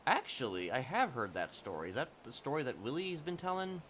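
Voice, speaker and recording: A man's voice: medium pitch, very animated, fairly quick, a bit bright, slight background noise. A man improvising casual roleplay chat in a hesitant, conspiratorial, rambling way. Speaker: roleplay game player.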